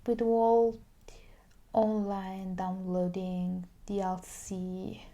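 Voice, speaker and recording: A woman doing a soft-spoken ASMR roleplay as a video game shop clerk, slipping into whispers, with a hesitant, halting delivery. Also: medium pitch, flat delivery.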